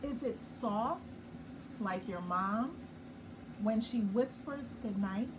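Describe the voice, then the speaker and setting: A woman hosting a nightly children's story time, reading a picture book slowly and gently and pausing to explain it. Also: medium pitch. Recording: far from the mic with clear background noise.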